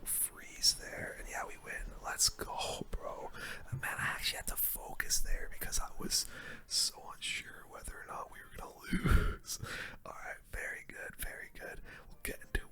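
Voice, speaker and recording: A video game streamer giving casual ASMR gameplay commentary in a soft, breathy whisper, with relaxed gamer slang. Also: normal speed. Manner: casual gamer slang, murmured reactions to play.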